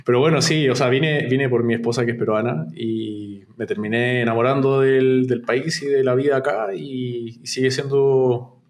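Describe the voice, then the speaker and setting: A man from Chile with a softened Chilean accent, a startup founder talking reflectively as an interview guest, with many restarts. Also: mid-range voice, fairly even intonation, moderate pace, hardly any pauses. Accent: Chilean, softened toward neutral Spanish. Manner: reflective, frequent restarts and fillers. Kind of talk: casual interview conversation.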